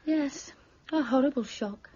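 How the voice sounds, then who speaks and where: An actress playing a mocking, cold-blooded murderess in a radio drama, sly and breathy, often near a whisper. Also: female voice, high-pitched, measured pace, dark tone, lo-fi sound. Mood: sly, amused. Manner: sly, theatrical, taunting.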